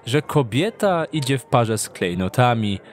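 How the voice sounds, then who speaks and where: A man's voice: medium pitch, very animated, measured pace. A man narrating a scripted story in a dramatic audiobook style. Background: background music.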